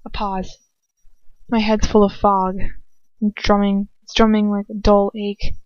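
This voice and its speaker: A woman's voice: medium pitch, little pitch movement, a little slow, no room sound, highs cut off. A woman narrating a first-person story as an amateur audiobook reader, now and then stumbling and retaking a line.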